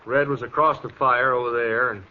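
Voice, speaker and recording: An American actor playing an anxious, earnest cowhand with folksy speech in a 1950s radio western. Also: male voice, medium pitch, steady tone, normal speed, natural voice. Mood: anxious explaining. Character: agitated cowhand reporting trouble to the marshal. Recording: muffled, faintly noisy 1950s radio recording.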